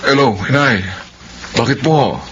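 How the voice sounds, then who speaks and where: An actor with a Filipino accent playing an earnest, devoted husband in a radio drama. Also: male voice, mid-range voice, a little slow, lo-fi sound, very noisy, lightly acted. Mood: worried.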